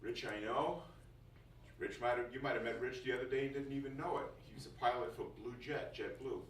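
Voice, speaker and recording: A man's voice: medium pitch, steady tone, fairly quick, a bit bright. Man teaching in a roomy classroom, picked up distantly. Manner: jokey, laughs often, repeats phrases for emphasis. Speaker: music school teacher addressing new students. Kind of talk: informal first-day classroom talk. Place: indoor classroom. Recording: roomy, distant mic, faint noise.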